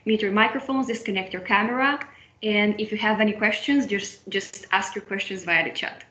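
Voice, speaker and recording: A woman's voice: mid-range voice, moderate pace, fairly bright tone, roomy sound. Young woman, webinar moderator, video call, dull lo-fi audio. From Moldova. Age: young adult. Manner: friendly, with frequent restarts and repetitions. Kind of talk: online webinar hosting.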